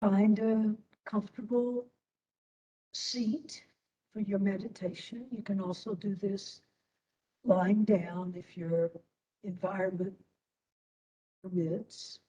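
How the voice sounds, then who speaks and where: A woman instructor leading a guided meditation in a soothing manner, with long pauses between short phrases. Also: medium pitch, fairly even intonation, warm tone, recorded from a distance, lo-fi sound.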